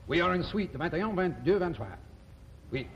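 A man's voice: high voice, animated, fairly quick. An actor playing a sly French con man with a French accent, in a 1950s sitcom. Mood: scheming. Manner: oily, exaggerated politeness. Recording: dull old TV soundtrack with hiss.

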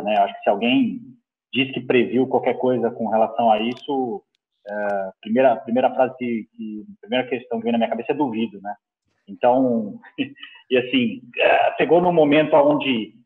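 A middle-aged Brazilian man answering conversationally as a panel guest, halting and repeating words, on a headset video call. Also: medium pitch. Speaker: business executive, panel guest. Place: indoor room. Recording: tinny, narrow video-call line.

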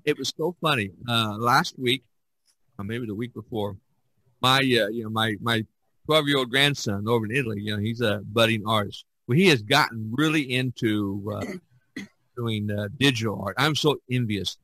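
An older man hosts a casual podcast with a bright, forward voice at a medium pitch. He explains things in a rambling way, and the recording is close and dry. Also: moderate pace, no room sound, rough recording. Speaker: podcast host and painter.

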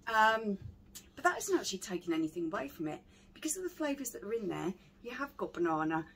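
A woman's voice: medium pitch, very animated, fast talker, a bit bright, rough recording. A middle-aged woman, sharing her opinions in a casual review chat. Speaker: beer reviewer. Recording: clearly audible background noise.

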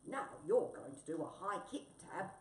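A woman's voice: on the low side, very animated, normal speed, darkish tone. Wombat puppeteer, played by a woman: well-meaning, sentimental, pushy, emphatic storybook character, slightly noisy echoey recording. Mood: eager, helpful. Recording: roomy, distant mic, faint noise.

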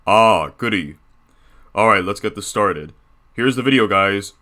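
A man doing a bossy, bright, low animatronic bear. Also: expressive, measured pace. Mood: bossy, eager. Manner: bossy, crude, bragging. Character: bossy crude male animatronic bear, self-satisfied boss. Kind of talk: character reaction commentary.

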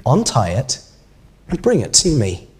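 A firm, assured teacher from a children's storybook, voiced by a man. He speaks with measured emphasis and a very lively pitch range. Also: slightly rough, full character voice. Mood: calm instruction.

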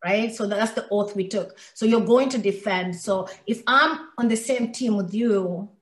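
A woman's voice: mid-range voice, a little slow. Earnest emphatic woman, echoey room. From Somalia, raised in Kenya. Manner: earnest and emphatic, repeating words for stress. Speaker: podcast guest. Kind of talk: heartfelt conversational interview.

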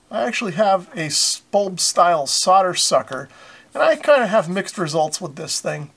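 A man narrates a hands-on tutorial. His voice sits slightly higher than most men's. He explains each step in a plainspoken, methodical way. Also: fairly bright tone. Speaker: host of a radio repair tutorial.

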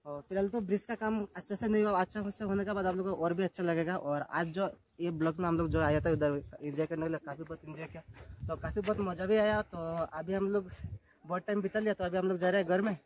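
A young man in his twenties vlogging on a phone on a selfie stick, chatting excitedly to viewers on windy hilltops and a swaying bridge. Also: sits high, fast talker. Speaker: travel vlogger. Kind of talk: casual travel vlog narration. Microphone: phone camera on a selfie stick. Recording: clear wind noise outdoors.

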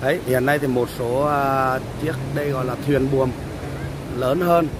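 A man casually commentating on a beach race for viewers, talking haltingly with many drawn-out fillers, outdoors by the sea. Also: mid-range voice, fairly even intonation. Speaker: vlogger filming a beach race. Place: outdoors on a windy beach by the surf. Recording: loud surf and wind noise.

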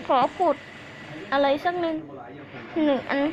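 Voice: measured pace, dull audio, slight background noise. A young-sounding video host giving playful Minecraft play-along commentary, chattering and humming to themselves in a sing-song way. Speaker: gaming channel host.

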